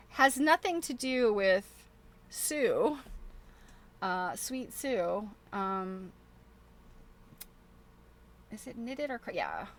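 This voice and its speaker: A woman's voice: mid-range voice, big pitch swings, drawn-out words, pauses often. A middle-aged woman chatting casually as she shows things to viewers, thinking aloud in a rambling, chuckly way. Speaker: host of a quilting show. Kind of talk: casual show-and-tell chat.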